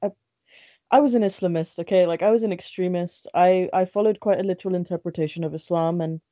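A woman speaks openly as a podcast guest, full of fillers and quick restarts. Her voice is mellow and warm. The recording is dry and a little dull, with a lo-fi edge. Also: lowish voice, fairly even intonation, no room sound. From born in Bangladesh, raised in London. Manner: candid, chatty, many restarts and fillers. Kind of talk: casual podcast interview.

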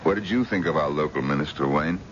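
An actor playing a touchy, domineering wealthy husband in a radio drama, with a gruff, emphatic, irritable manner. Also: male voice, very deep voice, quick pace. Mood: blustering. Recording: dull, noisy old radio broadcast recording.

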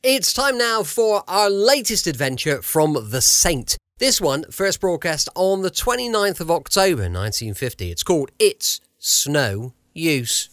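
A man's voice: a little high, big pitch swings, unhurried, few pauses, a bit bright, dry recording, studio quality. A man with an English accent hosting a podcast in a chatty, informal, enthusiastic way. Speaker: podcast host. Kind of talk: chatty show introduction.

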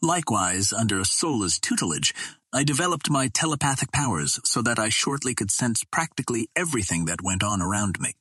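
A man reading a first-person story as a literary narrator, in a measured and formal storytelling manner. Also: lowish voice, lively intonation, bright, forceful tone, breathy edge, dry recording, clean studio sound. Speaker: audiobook narrator.

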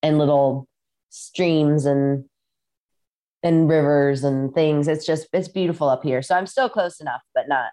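A woman's voice: deep voice, fairly even intonation, measured pace, warm tone. A woman from the Midwest, a musician guest, talking casually over a video call and telling stories with lots of "like"s. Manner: rambling, filler-heavy storytelling with many "like"s. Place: farmhouse in rural Iowa.

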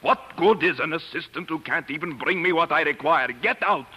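An actor playing an irascible, exacting science professor, scornful and declamatory, in a 1940s radio drama. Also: male voice, on the high side, normal speed, pushed and bright, husky. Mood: scornful anger. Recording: reverberant 1940s radio recording.

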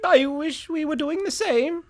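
A man voicing an excitable, eager and anxious rooster in a storybook tale, in a creaky, strained falsetto. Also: a little slow. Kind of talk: storybook character voice.

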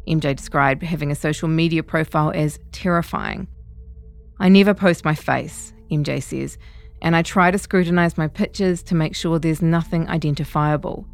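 A woman reading a scripted feature article in a measured, composed way. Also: low-pitched, gravelly. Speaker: narrator of a long-read podcast.

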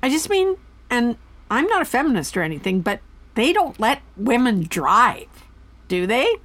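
An older mother with a fairly high voice that swings widely in pitch. She rambles in a nosy, naive, excitable way, as in a naturalistic audio comedy. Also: moderate pace. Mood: nosy, flustered. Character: chatty, anxious, naive older mother and customer. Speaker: actor in an audio drama.

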